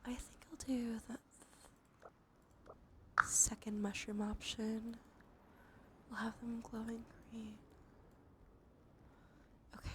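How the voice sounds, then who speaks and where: A woman's voice: a little high, little pitch movement, takes it slowly, pauses often, background noise. A woman livestreamer giving whispered ASMR commentary on a game, murmuring as she thinks aloud. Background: music.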